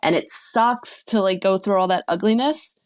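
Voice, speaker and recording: A woman speaking as a relationship coach in a relaxed podcast conversation. She explains her ideas with easy confidence and drops in quick asides. Her voice sits at an ordinary middle pitch for a woman. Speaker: sex and relationship coach guest. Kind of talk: casual podcast chat.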